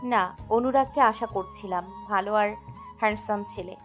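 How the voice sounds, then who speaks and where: A woman's voice: mid-range voice, normal speed, faint music behind, lightly acted. An actress playing a young mother in an audio drama, with a dry, tart way of answering. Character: young mother, surprised yet dryly tart. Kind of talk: dramatized audio story acting.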